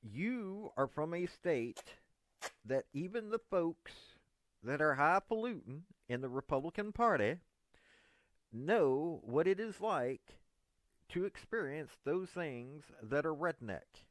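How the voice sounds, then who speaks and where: A man's voice: fairly high pitch, big pitch swings, slow pace, no echo. Folksy East Tennessee man, dry sound. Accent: Southern US, East Tennessee. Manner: folksy, meandering, earnest. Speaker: political commentator. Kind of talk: direct-address monologue to a politician.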